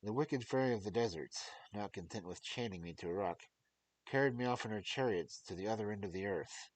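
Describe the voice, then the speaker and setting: A man's voice: fairly low pitch, a little fast, close to an everyday voice, poor audio. A man voicing a devoted young king, earnest and desperate, while reading a fairy tale aloud. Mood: pleading.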